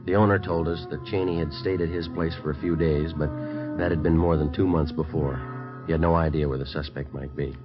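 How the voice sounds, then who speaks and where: A man's voice: extremely low pitch, steady tone, a little fast, hardly any pauses. An actor playing a male police detective who narrates the case in clipped, matter-of-fact sentences, in a 1950s radio drama. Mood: steady. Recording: muffled old radio broadcast with music.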